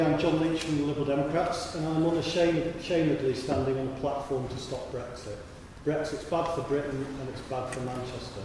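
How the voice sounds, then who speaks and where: Guy campaigning hard at a hustings, mid voice, far off mic, super echoey hall. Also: medium pitch. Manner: brisk, assertive campaigning. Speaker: parliamentary candidate. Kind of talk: hustings speech. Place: large church hall. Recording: distant, echoey hall with background hiss.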